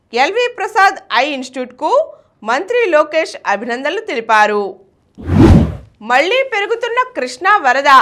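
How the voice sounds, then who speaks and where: A woman's voice: sits high, fairly bright tone. A woman news reader delivering a scripted bulletin in a formal, emphatic manner.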